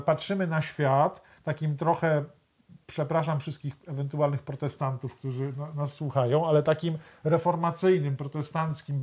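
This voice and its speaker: A man's voice: a little high, a little mellow. Older man talking with many restarts. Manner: thoughtful, many restarts and hesitations. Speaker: author and guest. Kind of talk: studio discussion. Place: TV studio.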